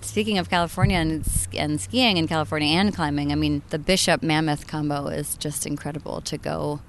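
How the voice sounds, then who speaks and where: Chatty woman with a gravelly voice. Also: deep voice, fairly even intonation, brisk, a little hiss or hum. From Maine, USA. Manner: chatty, laughs often, trails into fillers. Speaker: guest, journalist. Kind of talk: casual interview conversation.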